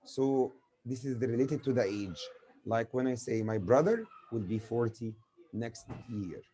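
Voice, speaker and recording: A middle-aged man teaches English grammar. His voice is mellow and dark, and he works through example after example. Now and then he drops in Arabic words. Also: medium pitch, animated, a little hiss or hum. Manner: explains slowly with repeated examples, mixing in Arabic words. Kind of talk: grammar lesson.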